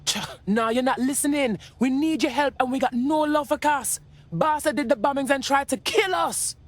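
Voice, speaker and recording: Actress as a streetwise resistance woman, higher-pitched. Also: moderate pace, natural voice. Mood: urgent, pleading. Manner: slangy, blunt, urgent. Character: streetwise, blunt female underground resistance operative. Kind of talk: video game cutscene acting.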